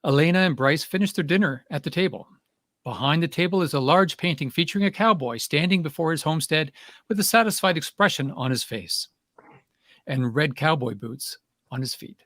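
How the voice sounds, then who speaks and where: A man's voice: on the high side, animated, fairly quick, a bit bright, no echo, studio quality. A man reading stage directions as scripted narration for a play.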